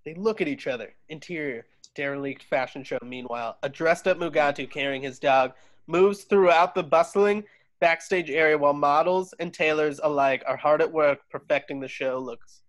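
A man's voice: fairly high pitch, moderate pace, muffled recording. A man reading screenplay directions as narrator in an at-home table read over a video call. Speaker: stage direction reader.

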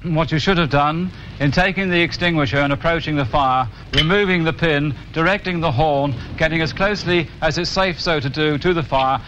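A man's voice: a little high, pushed and bright. A man giving a practical demonstration as an instructor, speaking in a formal, wordy way. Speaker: fire extinguisher instructor. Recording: faintly noisy 1980s film soundtrack.

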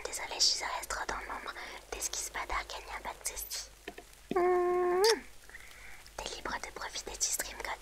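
A woman streamer whispering softly and gently to her viewers in an ASMR livestream, close to the mic.

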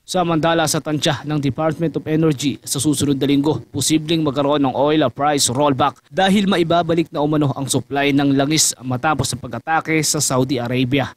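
A man's voice: a little high, a little fast, hardly any pauses. Male reporter reading a news voice-over. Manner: steady formal news delivery.

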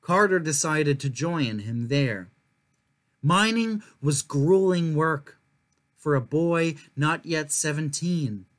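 A young man reading a children's picture book aloud as a storyteller, in measured, clearly phrased narration. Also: a little high, lively intonation. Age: young adult. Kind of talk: scripted narration, story time read-aloud.

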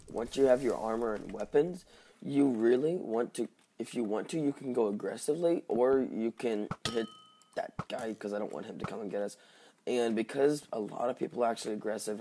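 A man with a slightly hoarse, just-woken voice giving casual, halting gameplay commentary as he thinks aloud. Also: on the low side, darkish tone, slight background noise. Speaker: gaming video host.

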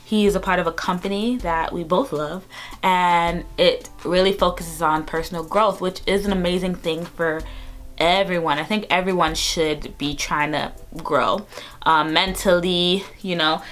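A woman's voice: on the low side, steady tone, unhurried, a bit bright. Chatty young woman vlogging in her bedroom. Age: 20s. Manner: chatty, upbeat, many fillers. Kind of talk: casual vlog talk to camera. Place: bedroom, indoors.

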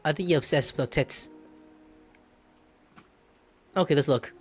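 A man's voice: a little high, a little slow, soft background music. A man doing casual, offhand gameplay commentary from a room, joking and reading choices aloud. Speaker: gaming streamer. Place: indoor room, webcam setup.

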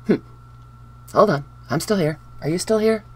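A woman's voice: fairly low pitch, normal speed, darkish tone, husky. A woman hosting a casual craft tutorial, chatting upbeat with viewers while showing her dyed papers at a table. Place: indoors at a wooden craft table.

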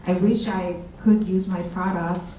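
A woman's voice: fairly low pitch, flat delivery, measured pace, noisy background. Woman, startup co-founder on a panel, hesitant with fillers; far camera in a very echoey hall. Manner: hesitant, many fillers and restarts. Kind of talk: panel discussion answers. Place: large event room with audience. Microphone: handheld mic, far camera. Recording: echoey room recorded from far off.

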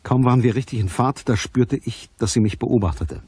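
An actor playing a curt, mocking private detective in a crime radio drama, speaking with a dry manner. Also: male voice, mid-range voice, expressive, a little fast, darkish tone, husky, no echo. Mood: wry narration. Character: hard-boiled, sardonic male private detective. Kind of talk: German crime radio drama acting and narration.

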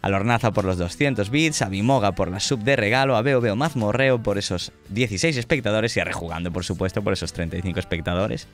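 A man's voice: lowish voice, fast talker, few pauses. A man acting as game master, closing a tabletop campaign and thanking his players and viewers. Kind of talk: narrating and thanking players on a live stream. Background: faint music.

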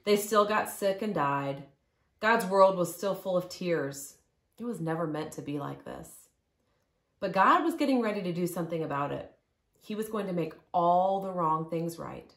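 Low-pitched woman reading a story. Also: gravelly, a bit bright. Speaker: storybook reader. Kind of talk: expressive children's story read-aloud. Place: living room, indoors. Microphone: camera or phone a short distance away.

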